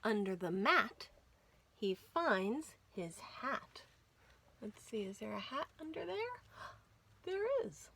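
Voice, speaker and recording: A woman hosting a children's storytime, reading picture books aloud in an expressive, sing-song way. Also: mid-range voice, takes it slowly, vocal fry, pauses often, breathy edge. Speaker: children's library storytime host. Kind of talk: animated picture-book read-aloud for young children.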